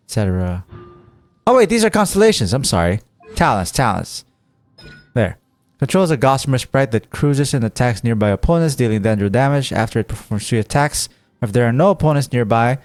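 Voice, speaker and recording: A man's voice: mid-range voice, a little mellow, no echo. A man giving casual gameplay commentary as a streamer, thinking aloud and reading game text. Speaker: gaming streamer.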